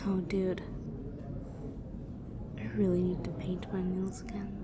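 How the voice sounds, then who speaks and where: Slow, teasing goth girl over noisy ambience woman acting. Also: on the low side. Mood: relaxed, friendly. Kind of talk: intimate roleplay audio addressed to the listener. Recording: clearly noisy background ambience.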